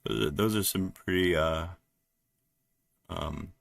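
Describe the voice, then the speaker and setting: A man from New Jersey with a deep voice, chatting casually and haltingly on a live stream while he works at his computer, with lots of fillers. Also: gravelly, dry recording. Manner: rambling, halting, many fillers, laughs often. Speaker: livestreaming developer.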